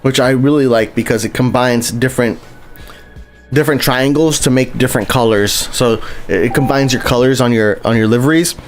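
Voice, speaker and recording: A man streaming a game live, his voice sitting at an ordinary middle pitch. He rambles in a loose, friendly way and keeps restarting sentences as he talks to his chat. Faint music plays underneath. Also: fairly even intonation, fairly quick. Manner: rambling and chatty, lots of restarts, greets chat viewers. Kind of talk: casual live-stream commentary while playing.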